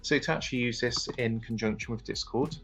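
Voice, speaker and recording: A man's voice: mid-range voice, brisk, creaky, fairly bright tone. A man presenting a step-by-step tutorial in an instructional narration style. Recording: music playing behind the voice. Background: music.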